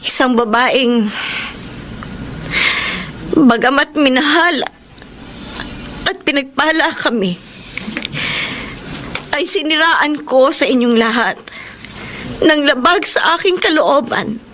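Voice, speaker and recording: A woman's voice: sits high, animated, slow, pauses often, fairly bright tone, slight background noise, full character voice. A woman acting a remorseful young niece making a formal, emotional public confession in a Filipino radio drama.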